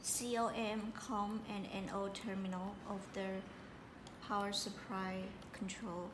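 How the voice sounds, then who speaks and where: A woman giving a hands-on installation tutorial as a product presenter, speaking in halting, piecemeal phrases with repetition. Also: medium pitch, little pitch movement. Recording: echoey room with clear background noise.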